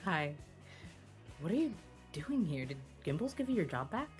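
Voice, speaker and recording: A woman's voice: lowish voice, big pitch swings, moderate pace, music in the background. A woman playing a shy, awkward, friendly young woman in a live comedic table read.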